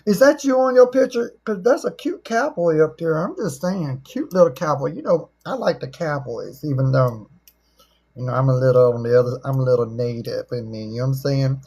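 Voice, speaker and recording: A middle-aged woman with a husky voice, chatting casually as a livestream host in a folksy, teasing, joking way. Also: rumbling low, expressive, brisk, dark tone.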